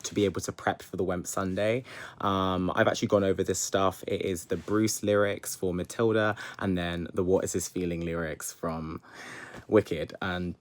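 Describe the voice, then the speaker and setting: A young man, a musical theatre student, narrating his week in a chatty, upbeat vlog style with self-deprecating asides. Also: low voice.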